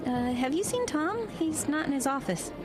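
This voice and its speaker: An actress playing a kind young small-town woman in an audio drama, speaking in a gentle, conversational way. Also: sits high, big pitch swings, normal speed, over music. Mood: friendly, casual. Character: kind young small-town hospital doctor, pastor's sweetheart. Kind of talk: naturalistic audio drama acting.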